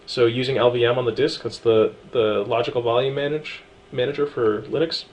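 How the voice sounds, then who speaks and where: A man casually talking through a software setup tutorial, relaxed and chatty with frequent ums and small asides. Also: mid-range voice, brisk. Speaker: tutorial presenter. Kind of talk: screen-recorded software walkthrough.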